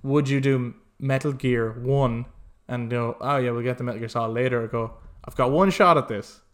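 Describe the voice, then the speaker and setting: A man's voice: medium pitch, fairly even intonation, moderate pace. A man co-hosting a podcast in casual, jokey banter, acting out imagined lines as he makes his points. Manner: jokey, acts out hypothetical quotes. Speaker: podcast co-host. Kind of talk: casual animated banter about games.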